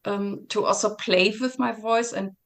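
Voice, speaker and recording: A woman singer speaks in a reflective interview at a middle pitch. Her voice has a touch of fry, and she talks haltingly, restarting often as she searches for words. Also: fairly even intonation, fairly bright tone. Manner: thoughtful, halting, with many restarts and fillers.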